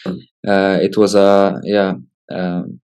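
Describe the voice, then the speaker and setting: A Polish man in his twenties with a Polish accent, giving casual interview answers as a footballer in hesitant, halting English. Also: low voice, monotone, darkish tone, clear, resonant tone. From Poland. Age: 20s. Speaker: professional footballer, interview guest.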